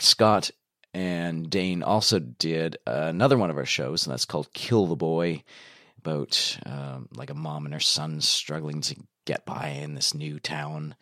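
Low-voiced Canadian man, upbeat chat. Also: gravelly, a bit bright, no room sound, studio quality. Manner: upbeat, with many fillers and restarts. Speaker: dialogue editor. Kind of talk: casual interview talk.

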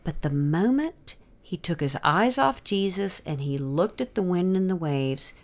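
A woman with a very lively, expressive pitch range teaches like a devotional host. She is earnest and instructive, explaining things conversationally and steering with rhetorical questions. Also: a little slow. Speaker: host and storyteller.

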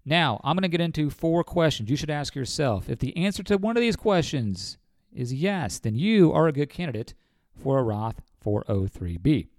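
A man's voice: a little high, big pitch swings, moderate pace, darkish tone, no room sound, clean studio sound. A man in his forties with a cold, a financial advisor explaining retirement savings on a podcast in a folksy way, often calling listeners folks. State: has a cold.

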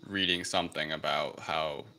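A man's voice: very deep voice, steady tone, normal speed, sharp tone, slight background noise. A man hosting a film podcast in a casual, chatty style. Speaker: podcast host. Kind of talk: casual chat.

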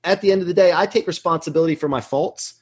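Husky, higher-voiced man in his forties. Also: fast talker. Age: 40s. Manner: emphatic, self-assured, earnest. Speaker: podcast guest, business executive. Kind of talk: motivational interview talk, anecdotes.

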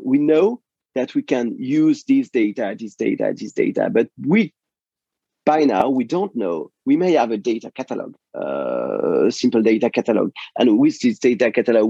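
A French man with a French accent, a guest in a technical interview who is losing his voice and speaks haltingly with many fillers and restarts. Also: mid-range voice, gravelly, dark tone, slightly rough, dry recording, lo-fi sound. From France.

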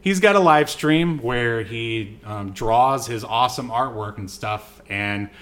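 A man's voice: medium pitch, slow, fairly bright tone. A man with a mid-range voice hosts a podcast. He talks casually in an easygoing, rambling way, moving loosely from one point to the next. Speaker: podcast host.

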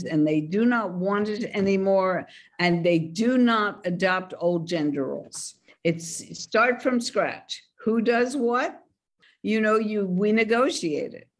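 A woman speaks with calm assurance, stressing her points with short, firm phrases as she answers questions. She sounds like a seasoned advice author in an interview. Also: medium pitch. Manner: confident, emphatic advice-giving. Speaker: author and dating expert guest.

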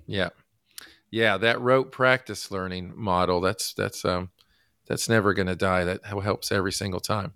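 A man from the United States hosting a casual podcast interview, halting with many fillers and restarts. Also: low voice, steady tone, sharp tone, dry recording, studio quality. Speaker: podcast host.

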